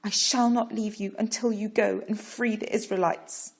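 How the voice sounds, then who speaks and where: A woman's voice: medium pitch, fairly even intonation, normal speed, vocal fry, pitched above the performer's own voice, close to an everyday voice. A woman reading a story voices a bold, defiant Egyptian noblewoman who begs a king with urgent passion. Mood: fierce vow. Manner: urgent, impassioned pleading.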